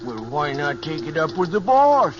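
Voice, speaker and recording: An actor in a 1950s radio playing a folksy, wry old trail hand. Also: male voice, a little high, big pitch swings, background noise. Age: older. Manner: folksy, chuckling, unhurried. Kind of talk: 1950s radio western acting. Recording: muffled old radio recording.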